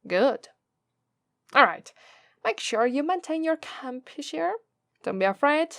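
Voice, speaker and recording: A woman's voice: high voice, sing-song, gravelly, fairly bright tone, dry recording, exaggerated voice. A woman with an Italian accent voicing a haughty, menacing female demon who taunts and condescends in an ASMR roleplay. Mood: commanding, haughty. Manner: condescending, drawn-out, taunting delivery.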